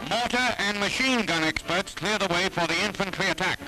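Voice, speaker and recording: A man's voice: high-pitched, a little fast, poor audio. An American man reading dramatic, declamatory scripted narration for a 1940s newsreel. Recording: archival 1940s newsreel, music behind.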